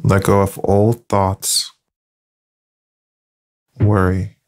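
A man leading a yoga nidra session, giving soft, calm, unhurried instructions with long pauses. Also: sits low. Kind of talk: slow guided meditation.

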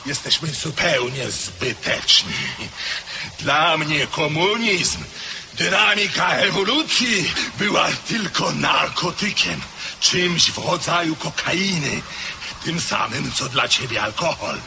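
An actor playing a weary, nihilistic Russian aristocrat officer in a radio drama, speaking in a declamatory way. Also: male voice, on the high side, lively intonation, slow pace, vocal fry, heavily acted. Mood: bitter. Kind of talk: 1970s-80s radio drama acting. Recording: old radio play recording with background noise.

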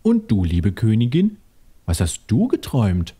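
A man's voice: on the low side, huge pitch swings, a little slow, warm tone, breathy edge, heavily acted. A man playing a genial, fatherly king in a children's cartoon dub. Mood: dreamy, cheerful. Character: fatherly king, genial, easily flustered husband and dad. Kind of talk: German children's cartoon dubbing. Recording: music behind the voice.

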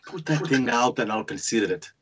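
A man acting a shy, awkward, dutiful son in a screenplay read, with a husky voice and halting delivery. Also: medium pitch, lively intonation, noisy background, natural voice. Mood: dutiful, dry. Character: Constantine, shy, awkward, dutiful young son and budding actor. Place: indoors on a group video call. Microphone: video call.

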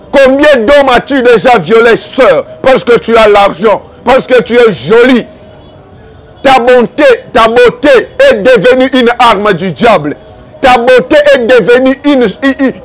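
A man's voice: very high-pitched, lively intonation, measured pace. A man with a Congolese accent preaching a fervent evangelical sermon, exhorting and accusing with repeated rhetorical questions.

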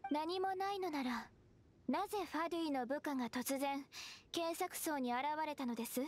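A woman playing a gentle, earnest young secretary who speaks politely and formally in an anime game. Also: high voice, moderate pace, slight background noise, natural voice. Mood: stern, polite. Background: game music.

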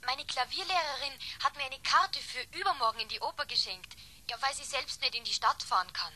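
An actress with a Bavarian accent playing a cheerful, confident teenage niece in a radio play, chirpy and eager. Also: female voice, high-pitched, fairly quick, pushed and bright, a little hiss or hum. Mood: cheerful, asking. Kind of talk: German radio play acting.

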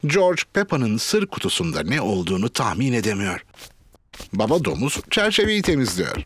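A woman's voice: a bass voice, sing-song, moderate pace, no echo. A woman narrating a children's cartoon in a plain storybook way. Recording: clear music behind.

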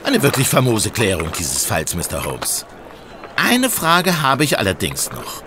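A man's voice: mid-range voice, wildly swooping pitch, unhurried, heavy background noise. A man playing an inquisitive, appreciative police inspector in a German audio drama. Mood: curious, impressed.

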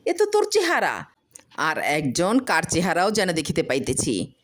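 A woman's voice: fairly low pitch, huge pitch swings, moderate pace. A woman voicing an inquisitive, sensible elder neighbour wife in a storybook reading, questioning in a familiar way. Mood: curious surprise.

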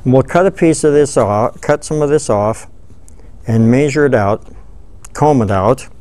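An older man giving a relaxed, folksy fly-tying tutorial, thinking aloud as he works at a table in a studio. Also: mid-range voice, a little slow, smooth. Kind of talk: hands-on tutorial. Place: studio with dark backdrop, seated at table.

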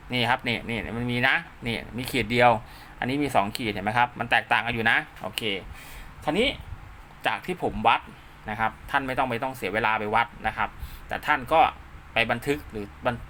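A man's voice: medium pitch, pushed and bright, a little hiss or hum. A man hosting an informal DIY tutorial, talking casually as he works, repeating himself and dropping in little spoken sound effects. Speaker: host of a DIY radio repair channel. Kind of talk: informal hands-on tutorial, talking while drawing diagrams.

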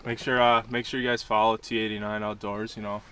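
A young man in his twenties vlogging an ice-fishing trip with loud, joking banter, filmed on a wearable camera on a frozen lake. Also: lowish voice, level tone, a little fast, clean tone. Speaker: vlogger and angler. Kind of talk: casual outdoor banter. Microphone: wearable action camera. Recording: action camera with loud wind noise.

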